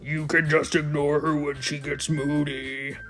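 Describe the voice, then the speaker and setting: A man's voice: fairly high pitch, flat delivery, a little slow, fairly bright tone, faint music behind. A man voicing a cheerful, airheaded teenage schoolgirl in a visual novel, in a pleading, sing-song way. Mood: bubbly.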